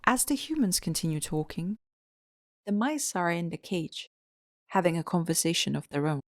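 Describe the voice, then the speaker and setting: A woman's voice: on the low side, very animated, normal speed, no echo, studio quality. A woman narrating an audio drama in a scripted storybook style.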